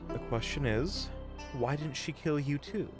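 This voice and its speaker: A man's voice: a little high, unhurried, music in the background, close to an everyday voice. A man playing a caring, level-headed doctor in an audio drama, concerned and reassuring. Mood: troubled, reassuring. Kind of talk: dramatic audio roleplay acting.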